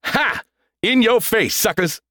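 A man voicing a boastful, easily rattled young AI missile in an audiobook, with an excitable manner. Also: high-pitched, moderate pace, husky, no echo, studio quality, voice pushed up, exaggerated voice. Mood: gloating. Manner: excitable, stammering when scared.

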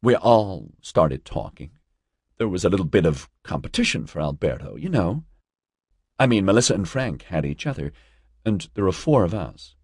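A man's voice: low voice, sing-song, moderate pace, a little mellow, breathy edge, dry recording, clean studio sound, heavily acted. A man voicing a distraught, naive twenty-year-old woman student in an audiobook, pleading and woebegone. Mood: wistful, hurt.

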